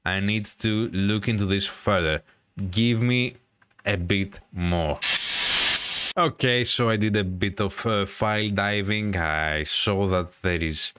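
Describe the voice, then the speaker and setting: A man's voice: low voice, fairly bright tone, clean tone, dry recording. A man hosting a gaming video, giving commentary on a visual novel playthrough. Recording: music behind the voice. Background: game music.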